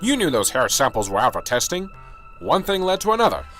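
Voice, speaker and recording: A man's voice: on the high side, sing-song, fairly quick, fairly bright tone, soft background music. A man playing an older, weary but self-assured small-town police sergeant, speaking emphatically in an amateur radio drama. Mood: defensive.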